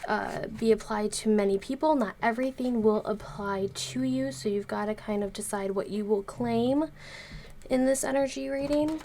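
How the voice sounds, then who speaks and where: A woman's voice: fairly high pitch, moderate pace, warm tone. Woman, stuffy nose, chatty tarot reader, rambling with fillers, faint hiss behind. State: congested, recovering from illness. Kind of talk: casual tarot reading to camera.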